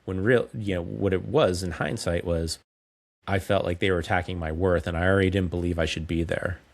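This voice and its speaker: A man in his forties with a very low, deep voice. He speaks candidly, in a measured way, as a podcast guest reflecting on his past. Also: steady tone. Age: 40s.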